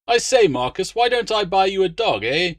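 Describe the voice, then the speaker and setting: A man's voice: sits high, very animated, moderate pace, dry recording, voice pushed up. A man voicing a bluff, overbearing Roman legate in an audiobook, with a hearty, pompous, blustering delivery. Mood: jovial, eager. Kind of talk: audiobook character dialogue.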